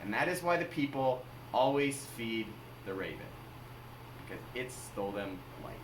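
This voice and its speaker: Animated male storyteller, very echoey room. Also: medium pitch, normal speed. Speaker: amateur storyteller. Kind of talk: oral folktale telling. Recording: echoey, distant mic, faint noise.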